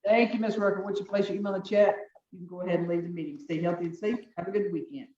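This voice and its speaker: Deep-voiced woman judge on a zoom call, firm and brisk, far off and echoey, kinda muffled. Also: fairly even intonation, dark tone. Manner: firm, brisk, repeats procedural instructions. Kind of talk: presiding over virtual court hearings. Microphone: video call. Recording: echoey, distant, dull video-call audio.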